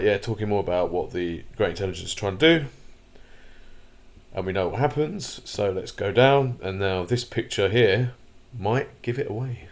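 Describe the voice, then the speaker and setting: Croaky low-voiced man rambling. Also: darkish tone. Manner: rambling, many fillers and restarts; opinionated, grumbling asides. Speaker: channel host. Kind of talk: casual commentary vlog.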